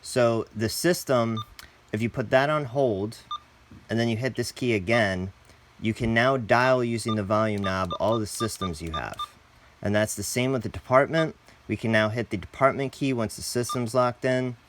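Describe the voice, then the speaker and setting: Casual man presenting, clear background noise. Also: mid-range voice, moderate pace. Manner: casual, plain instructional, addresses viewers as guys. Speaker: host, tutorial presenter. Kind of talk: step-by-step product tutorial.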